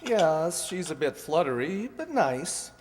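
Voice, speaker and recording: A man's voice: fairly high pitch, big pitch swings, slow pace, slight background noise. Dry, courteous husband, played by a man, in a hall. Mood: wry grumbling. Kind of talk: radio play acting.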